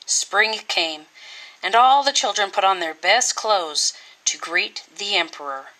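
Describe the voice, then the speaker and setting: A woman reading a children's storybook aloud with deliberate, expressive, dramatic phrasing. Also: mid-range voice, poor audio. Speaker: teacher reading a picture book.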